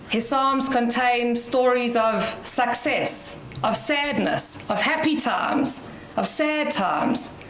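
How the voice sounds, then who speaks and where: Woman, South African accent, loud voice, unhurried delivery, echoey hall. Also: a little high, a little hiss or hum. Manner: loud voice, chatty with light laughs. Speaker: guest speaker at a community dinner. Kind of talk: inspirational talk to an audience.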